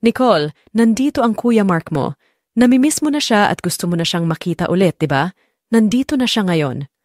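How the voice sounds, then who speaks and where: A woman's voice: mid-range voice, expressive, moderate pace, darkish tone, dry recording, studio quality, natural voice. A woman voicing a cheerful, caring nurse who speaks politely and coaxingly in an audio story. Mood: glad, coaxing.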